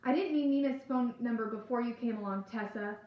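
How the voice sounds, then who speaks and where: A worried schoolboy from a storybook, read by a woman, high-voiced and tense. He reasons skeptically, in a room with a clear echo. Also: a little fast, darkish tone, close to an everyday voice. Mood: snappy, worried. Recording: echoey room.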